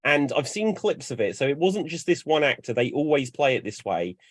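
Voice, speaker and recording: A young man chatting on a panel, telling lively stories and acting out bits as he goes. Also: mid-range voice, a little fast, no room sound, clean studio sound. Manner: animated storyteller, acts out bits. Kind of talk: casual chat.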